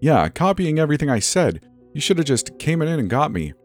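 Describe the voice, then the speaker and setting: A man's voice: mid-range voice, big pitch swings, normal speed, dark tone, faint music behind, pitched above the performer's own voice. A man voicing a frightened, defensive young male camp worker in a horror story, with a raised, strained voice. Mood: angry, embarrassed. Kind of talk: dramatized horror story narration.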